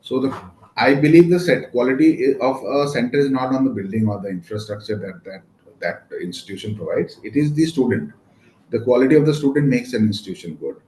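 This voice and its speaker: A man's voice: medium pitch, lively intonation, brisk, a little mellow. A man in his fifties, a native Maithili speaker with an Indian English accent, answering interview questions in a rambling way with many fillers. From India, West Bengal. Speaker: guest, real estate lawyer. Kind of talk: casual interview conversation. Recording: echoey room with faint noise.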